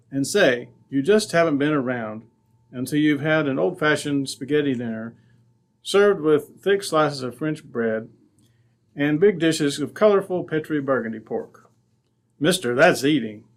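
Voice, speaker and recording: A man's voice: on the high side, expressive, normal speed. An American man announcing in 1940s radio style, with folksy, emphatic salesmanship.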